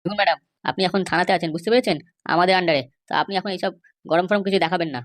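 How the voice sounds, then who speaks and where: A woman's voice: on the low side, fairly even intonation, rapid-fire, lightly acted. A woman voicing a stubborn, bribe-seeking police officer in a comedy cartoon, insistent, lecturing and dismissive. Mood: firm. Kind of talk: Bengali comedy cartoon dialogue.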